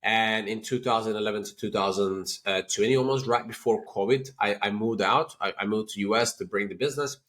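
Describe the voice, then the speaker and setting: A man's voice: fairly low pitch, a little fast, hardly any pauses. Turkish-accented man explaining business. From Turkey. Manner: animated explaining, leans on "you know" and "like". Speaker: company CEO, podcast guest. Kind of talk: business interview.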